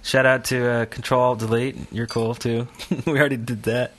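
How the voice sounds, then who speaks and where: A man's voice: mid-range voice, level tone, normal speed, a bit bright. A man co-hosting a podcast in casual chat, rambling along in a jokey, self-deprecating way. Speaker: podcast co-host.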